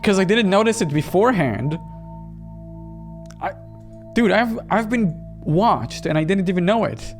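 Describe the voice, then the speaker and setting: A man with a fairly high, very expressive voice gives live commentary on a game. He is reactive and animated, slipping between reading and his own remarks. Music plays behind him. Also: unhurried, long pauses. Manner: reactive, animated, acts out dialogue while reading. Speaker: gaming video host.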